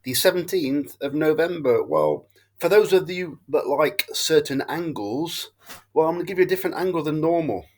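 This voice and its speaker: A man's voice: a little high, lively intonation, normal speed, a little mellow. A man, stuffed up with a cold, rambling through his horse racing tips in a casual monologue and often correcting himself. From England. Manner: rambling, self-correcting, loses his thread. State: bunged up with a cold and hangover. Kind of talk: casual tipping monologue to camera.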